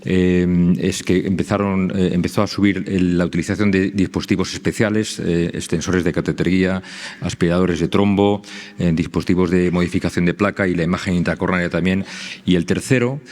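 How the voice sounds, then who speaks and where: Deep monotone guy talking fast at a tribute, big echoey hall. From Spain. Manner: measured, with frequent eh fillers. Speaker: cardiologist giving a testimony. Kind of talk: formal tribute speech. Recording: long hall reverb.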